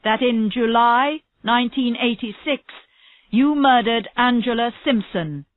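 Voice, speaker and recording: A woman reading a storybook, playing a stern court official who formally declaims charges in measured phrases. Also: on the high side, voice pushed up, close to an everyday voice. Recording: roomy, slightly dull lo-fi audio.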